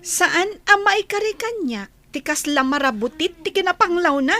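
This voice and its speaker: A woman with an Ilocano accent acting a lively, defiant, lovestruck teenage schoolgirl in a radio comedy drama. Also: very high-pitched, moderate pace, exaggerated voice. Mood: disdainful.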